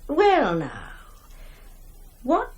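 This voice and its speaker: A woman's voice: high-pitched, wildly swooping pitch, full character voice. A woman playing an elderly country grandmother telling eerie tales in a radio horror play, with a hesitant, folksy, confiding manner. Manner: hesitant, folksy, hushed confiding delivery with dramatic pauses.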